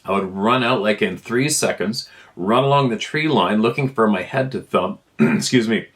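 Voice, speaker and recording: A man narrates a written first-person account. His voice sits a little low, and he reads informally, as someone sharing emails from listeners. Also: normal speed, fairly bright tone. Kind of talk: informal read-aloud of a first-person story.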